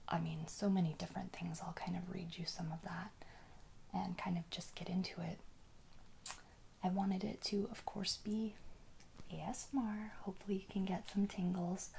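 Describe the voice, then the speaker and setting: A woman whispering softly and breathily in a gentle, hesitant, informal ASMR talk. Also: lowish voice, creaky, background noise. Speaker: ASMR video creator.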